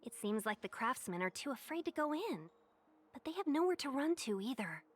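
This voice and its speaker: A woman's voice: high-pitched, very animated, a little fast, husky, exaggerated voice. An actress playing a polite, formal young woman who guides travelers. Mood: calm. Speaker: game character.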